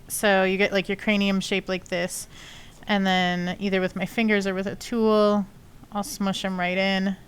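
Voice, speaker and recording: A woman's voice: mid-range voice, flat delivery, unhurried, clean tone. A woman with a sniffly nose chatting casually and rambling with many fillers while explaining her sculpting on a live stream. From not from Texas. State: sniffly from allergies. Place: home studio, lives in Texas.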